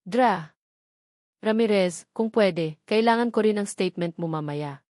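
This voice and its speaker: A woman's voice: medium pitch, unhurried, darkish tone, dry recording, lightly acted. Storybook drama: a no-nonsense woman in charge, firm and serious, as the narrator puts her on; dry recording. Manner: serious, commanding. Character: stern authoritative woman hospital official.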